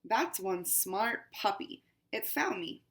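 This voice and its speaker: A woman's voice: mid-range voice, expressive, takes it slowly, a bit bright. A woman voicing a haughty, pampered house cat as she reads a picture book aloud, with a dramatic, exclaiming delivery. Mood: fussy. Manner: dramatic, exclaiming storybook reading. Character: haughty pampered house cat, female-ish narrator, fussy.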